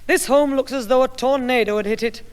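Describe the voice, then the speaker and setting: A stern, exasperated mother, played by a woman, in a 1960s radio comedy. Her voice sits high and clipped with scolding. A long reverb hangs on it. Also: fairly quick, clear, resonant tone, natural voice.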